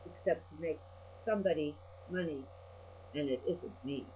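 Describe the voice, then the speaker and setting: A woman's voice: low-pitched, normal speed. An older woman video blogger giving a rambling personal monologue in a blunt, salty-tongued, ranting manner. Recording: dull lo-fi audio with mains hum.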